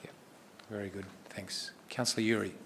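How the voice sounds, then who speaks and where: A man's voice: fairly low pitch, level tone, moderate pace, a bit bright, slight background noise. A man chairing a council meeting, asking brief, businesslike questions.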